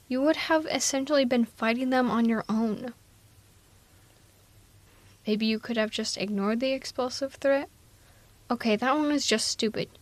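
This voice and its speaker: A woman's voice: fairly high pitch, dry recording, lightly acted. A woman playing a sardonic but protective villainess in an intimate ASMR audio roleplay, coaxing one listener in a wry, persuasive way. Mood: reassuring, firm.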